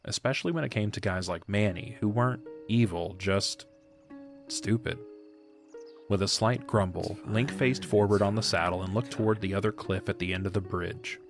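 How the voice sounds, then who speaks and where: A man narrates a fantasy audio play from a script, in a storybook style. His voice is fairly low, with soft music running beneath it.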